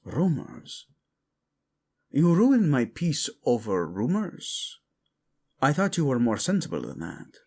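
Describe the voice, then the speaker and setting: A man's voice: mid-range voice, wildly swooping pitch, takes it slowly, vocal fry, long pauses, dark tone, slightly rough, exaggerated voice. A man playing a proud, brooding young prince in an audio drama, curt and sardonic, with a Spanish accent. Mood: irritated, curt. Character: brooding, proud young prince, defensive about his dead brother.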